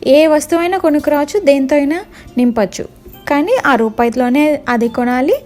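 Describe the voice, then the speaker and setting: Woman as high-voiced merchant setting a test. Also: moderate pace, a little mellow, soft background music, close to an everyday voice. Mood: instructive. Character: male merchant, testing and praising his servants.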